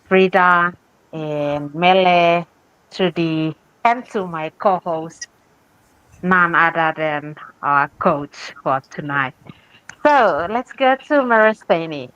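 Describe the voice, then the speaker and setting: Woman host from Papua New Guinea, warm welcomes on a video call, low-ish and unhurried. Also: clean tone, no room sound, dull audio. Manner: gracious, welcoming. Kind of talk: livestream introductions.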